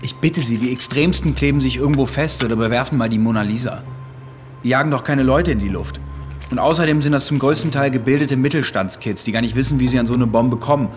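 An actor playing a blunt, sceptical police detective in a radio crime drama, colloquial and dropping English phrases. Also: male voice, medium pitch, fast, soft background music, close to an everyday voice.

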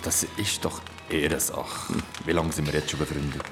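An actor playing a loyal, matter-of-fact nineteenth-century gentleman in a radio drama. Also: a bass voice, flat delivery, moderate pace, over music. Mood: cool.

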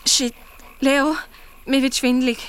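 A woman's voice: high-pitched, unhurried, clear, resonant tone. A woman playing a chatty, cheeky young girl detective in a children's radio play, with a Swiss German accent. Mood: uneasy. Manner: lively, colloquial, expressive.

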